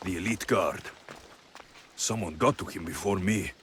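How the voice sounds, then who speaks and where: An actor playing a tough, wry young mercenary warrior in a video game cutscene. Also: male voice, low voice, normal speed, background noise, natural voice. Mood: grim, pondering. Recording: music behind the voice.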